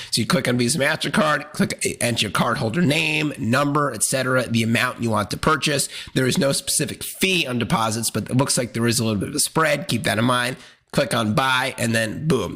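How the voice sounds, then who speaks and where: A man hosting a casual step-by-step tutorial, plain-spoken, with a hoarse, congested voice from a cold. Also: mid-range voice, bright, forceful tone. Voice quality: raspy, congested. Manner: plain-spoken, instructional, colloquial. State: hoarse, losing his voice from a cold. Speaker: host of a crypto exchange tutorial channel.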